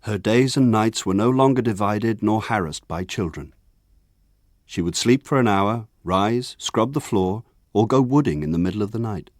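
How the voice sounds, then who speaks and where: Male actor doing older guy looking back on village childhood, low voice, lyrical and reflective, radio drama, very dry sound. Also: animated, a little mellow, dry recording, close to an everyday voice. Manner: measured, literary, reflective. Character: older man wistfully remembering his village childhood. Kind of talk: lyrical scripted memoir narration, radio drama.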